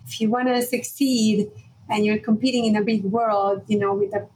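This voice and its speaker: A woman's voice: mid-range voice, little pitch movement, a little mellow, slight background noise, low-quality recording. A woman in her forties from Hungary, telling her story as a guest in an interview, with many fillers. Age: 40s. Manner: brisk, many "you know" and "um" fillers. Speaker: guest, fashion entrepreneur.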